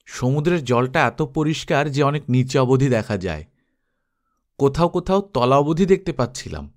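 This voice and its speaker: A man's voice: mid-range voice, expressive, moderate pace, close to an everyday voice. A man playing a schoolmaster who reminisces about his adventurous youth in a storybook reading. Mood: nostalgic.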